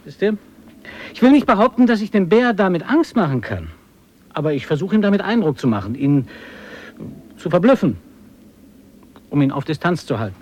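A man acting a proud, earnest and excitable polar explorer in a radio drama, thinking aloud expressively as if interviewed. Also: sits high, normal speed, long pauses. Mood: earnest, animated. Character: excitable male polar adventurer, proud, earnest, devoted to his dog.

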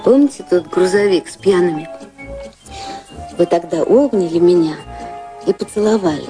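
A woman's voice: mid-range voice, warm tone, natural voice. An actress playing a wry, sceptical yet tender society woman in a radio drama, speaking in an arch, ironic way. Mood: hesitant, softening.

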